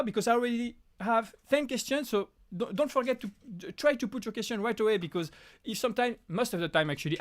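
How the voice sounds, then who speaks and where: A man's voice: high voice, clean tone. A young man from France with a French accent hosting a casual live question-and-answer stream from his apartment, reading out chat messages with frequent restarts. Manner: reads out chat names, frequent restarts and laughs.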